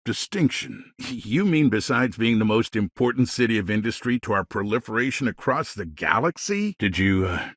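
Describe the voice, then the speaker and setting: A middle-aged colonial governor from a video game, smooth-talking and self-important. His voice is fairly low and husky, with a dry, close sound. He phrases everything like a practised politician who is always scheming. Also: lively intonation, moderate pace, a bit bright, no echo. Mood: smooth, politicking. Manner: polished politician's talk, veiled threats. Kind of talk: game dialogue voice lines.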